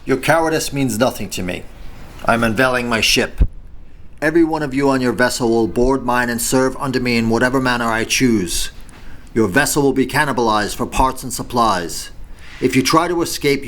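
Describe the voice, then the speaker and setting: A man performs a menacing male warlord of deep space in a sci-fi audio drama. He is cold and imperious, commanding in a mid-pitched voice, laying down each threat with grand, measured certainty. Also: a bit bright, natural voice.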